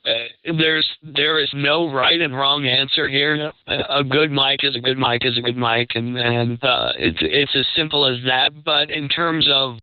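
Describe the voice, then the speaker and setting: Dull, lo-fi sound with no room echo carries a man's mid-pitched voice. He talks as an expert panelist, casual but measured. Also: smooth. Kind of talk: casual advisory talk. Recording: dull low-fidelity radio-like sound.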